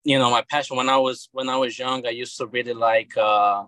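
A Brazilian man in his thirties speaks with a Brazilian Portuguese accent, a guest in a relaxed interview. His tone is bright and forceful, heard in a dry space without room echo. He stops and restarts his sentences often. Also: mid-range voice, a little fast. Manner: hesitant, many repeated words and restarts. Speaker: guest, comic book creator. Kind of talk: casual interview chat.

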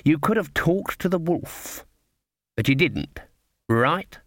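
A man's voice: fairly high pitch, big pitch swings, unhurried, a bit bright, no echo, clean studio sound, pitched above the performer's own voice, heavily acted. A man reading a story, voicing a shrewd old witch woman who talks in a sing-song, falsely innocent country dialect. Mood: quietly menacing.

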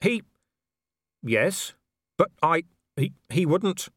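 A man's voice: a little high, lively intonation, extremely slow, a bit bright, husky, no echo, clean studio sound, voice pushed up, full character voice. A man doing a blunt, exasperated watch commander. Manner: blunt, indignant, pushy. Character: exasperated, gruff middle-aged male city watch commander. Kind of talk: audiobook character voice.

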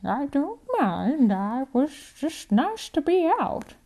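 A woman voicing a gentle, confused old grandmother who speaks slowly, with a faint foreign lilt creeping into her words. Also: high-pitched, big pitch swings, pitched above the performer's own voice, exaggerated voice. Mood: wistful. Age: older. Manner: slow, dreamy, drifting speech with a faint foreign lilt. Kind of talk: storybook character voice.

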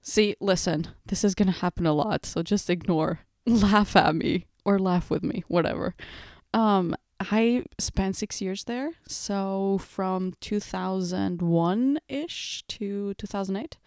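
A young woman from Portugal hosts her own podcast, chatting freely and poking fun at her own verbal slips. Her voice is mellow and relaxed, of middling pitch, recorded close in a dry room. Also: takes it slowly, no echo. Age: 20s. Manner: chatty, self-mocking, laughs at own slips. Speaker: podcast host. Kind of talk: casual solo chat. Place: home room with bookshelf.

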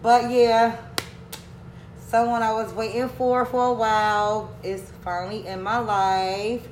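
A woman's voice: on the high side, flat delivery, slow, a little hiss or hum. Blunt, outspoken woman in an echoey room. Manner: blunt, swears freely. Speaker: podcast co-host. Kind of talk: casual podcast chat.

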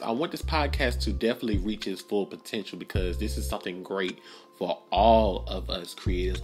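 A young man hosting his own podcast alone, talking earnestly and rambling with many restarts and fillers. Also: fairly low pitch. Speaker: podcast host. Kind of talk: solo podcast monologue.

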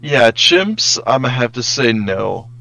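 A man's voice: medium pitch, sing-song, slow pace, vocal fry, bright, forceful tone, no echo. A young man co-hosting a podcast in casual, joking group conversation. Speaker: podcast co-host. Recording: poor podcast audio with playback bleed.

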